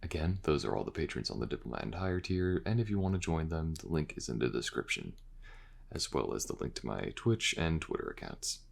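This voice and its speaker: A man with an extremely low voice that has a creaky edge. He chats casually to his listeners as the creator signing off after a piece of audio. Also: sing-song, brisk. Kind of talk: casual outro chat to listeners.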